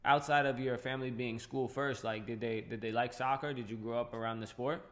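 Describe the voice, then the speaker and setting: A man hosts a relaxed interview and asks friendly questions at an unhurried pace. He pauses and restarts now and then as he talks. His pitch is ordinary, set in the middle of the range. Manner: friendly, easygoing questions with hesitations. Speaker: interview host. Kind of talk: casual interview chat.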